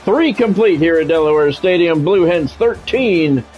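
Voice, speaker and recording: Higher-pitched American man calling football play-by-play. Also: animated, moderate pace. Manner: short clipped announcer phrases. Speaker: sports play-by-play commentator. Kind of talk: live play-by-play commentary of a football video game. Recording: dull lo-fi sound, music behind.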